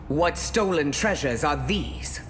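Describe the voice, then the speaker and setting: An actress playing a solemn, ancient mystic hero in a video game. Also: female voice, deep voice, expressive, slow, long reverb tail, slight background noise. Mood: wondering, grave. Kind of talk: game voice acting.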